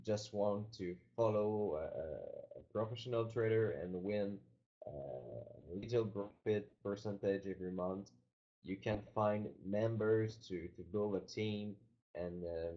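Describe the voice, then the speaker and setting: A man with a Quebec French accent, presenting on a business webinar, his deep, mellow voice coming through dull lo-fi online audio. He speaks haltingly, stopping and restarting his sentences. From Quebec, Canada. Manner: halting, many fillers and restarts.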